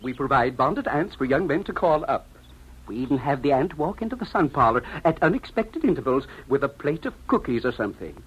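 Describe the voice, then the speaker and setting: A man's voice: medium pitch, big pitch swings, fairly quick, husky. An actor playing a brash, slick salesman in an old-time radio drama, with breezy, boastful patter. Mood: boastful pitch. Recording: muffled vintage radio recording.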